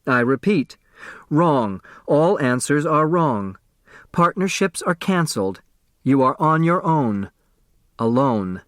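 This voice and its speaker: A man's voice: on the high side, sing-song, slow, fairly bright tone, dry recording, clean studio sound, full character voice. A man voicing an insistent, argumentative woman secretary in an audiobook story. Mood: urgent. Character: shrill, insistent woman secretary reading out and arguing.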